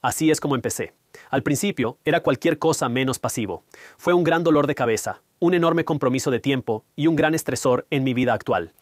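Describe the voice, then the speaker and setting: A man's voice: mid-range voice, a little fast, no room sound, clean studio sound. Man giving a direct finance lesson. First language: Punjabi. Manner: direct, explanatory, addresses viewer. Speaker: finance educator. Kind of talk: whiteboard finance lesson, dubbed voice-over.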